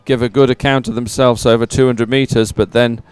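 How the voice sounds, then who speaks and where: Clear-voiced man giving live sports commentary. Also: mid-range voice, level tone, normal speed, no echo.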